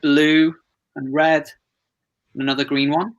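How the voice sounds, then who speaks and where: A man's voice: a little high, flat delivery, a little slow, dull audio. A man taking part in a workshop, describing things off the cuff in hesitant, pieced-together phrases. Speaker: workshop participant. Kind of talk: unscripted description.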